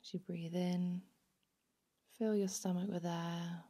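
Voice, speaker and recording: A woman narrating a guided body scan meditation in a soothing, unhurried, hushed manner. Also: on the low side, level tone.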